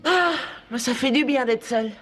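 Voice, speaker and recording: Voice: mid-range voice, normal speed, natural voice. A performer voicing a self-important, solitary schoolboy in a French cartoon dub, talking pompously to himself. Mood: smug. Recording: music playing behind the voice.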